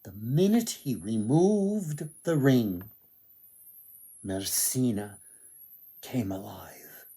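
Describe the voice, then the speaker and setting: A man telling a folktale dramatically, with theatrical, deliberate phrasing. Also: medium pitch, expressive, dark tone, breathy edge. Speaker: author, storyteller.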